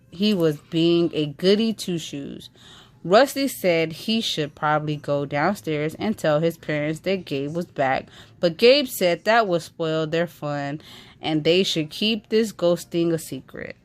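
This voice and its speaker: A woman reads a children's book aloud. Her voice sits low for a woman, and she takes the story at an unhurried, slow pace, narrating it as a read-aloud. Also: animated.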